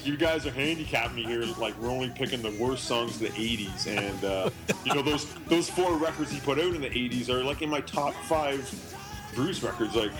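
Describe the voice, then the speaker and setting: A man's voice: medium pitch, a little fast, over music. A man speaking as a podcast guest on an internet call, casual, agreeable and enthusiastic. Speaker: podcast host appearing as guest. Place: remote Skype call.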